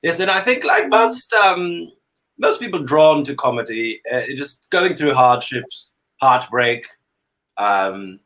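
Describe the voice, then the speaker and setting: A man's voice: medium pitch, animated, takes it slowly, fairly bright tone, poor audio. A man from Canada chatting comically as a talk show guest in an affected, theatrical dandy persona, with hesitant phrasing. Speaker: drag comedy performer, talk show guest. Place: indoor room at home, on a video call. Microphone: video call.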